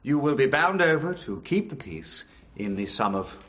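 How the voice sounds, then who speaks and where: A pompous, animated English judge. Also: male voice, medium pitch, moderate pace. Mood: pompous, solemn. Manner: pompous, ponderous, earnest. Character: pompous, easily fixated middle-aged male English High Court judge. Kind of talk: radio drama acting.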